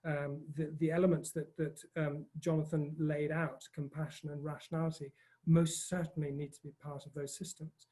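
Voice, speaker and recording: A man from northern Scotland with a posh British accent, speaking on an online panel in a halting, self-deprecating way with many fillers, from outdoors in a field. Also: on the high side, flat delivery, dark tone.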